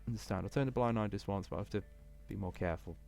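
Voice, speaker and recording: A stern but lenient schoolteacher from a visual novel, read by a man. The voice is low and instructing, with music behind it. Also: racing, creaky, a little mellow. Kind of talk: visual novel character reading.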